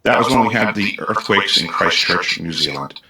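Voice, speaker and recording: Man, deep but sharp-edged tone, earnest testimony; roomy, slightly noisy clip. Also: animated, moderate pace. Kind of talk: recorded testimony. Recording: echoey room with faint noise.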